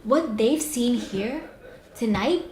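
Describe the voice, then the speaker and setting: A woman's voice: on the high side, lively intonation, slow, a little mellow, reverberant. A woman playing an earnest, moralizing TV journalist in an audio drama, arguing emphatically and articulately. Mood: earnest, insistent. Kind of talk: amateur audio drama acting.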